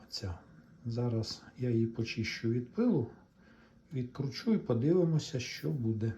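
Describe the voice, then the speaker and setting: A man giving a casual hands-on repair walkthrough, halting and thinking aloud in short phrases. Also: medium pitch, animated, dark tone, lo-fi sound, a little hiss or hum. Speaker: hobbyist repairer giving a demonstration.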